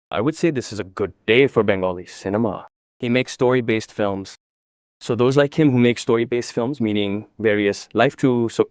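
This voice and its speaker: A man's voice: mid-range voice, darkish tone, no echo, clean studio sound. A man hosting an interview, speaking in an emphatic, opinionated way and repeating his points. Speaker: interview show host. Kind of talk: interview with earnest commentary.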